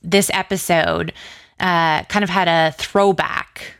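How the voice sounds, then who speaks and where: A woman's voice: lowish voice, fairly even intonation, takes it slowly, fairly bright tone, clear, resonant tone. A woman being interviewed about creative work, speaking informally and effusively in tumbling asides. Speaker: interview guest, audio drama writer and producer. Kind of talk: casual interview chat.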